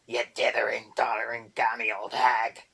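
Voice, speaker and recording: A man's voice: medium pitch, completely flat delivery, normal speed, gravelly, pushed and bright, breathy edge, exaggerated voice. A man voicing a spiteful little male boggart that screeches and rants insults in dialect. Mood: screeching.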